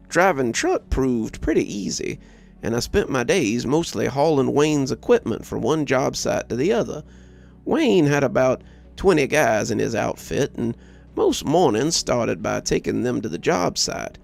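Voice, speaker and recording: A man reading a scripted first-person story aloud as narrator, in a measured, reflective way. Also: medium pitch, gravelly, a little mellow.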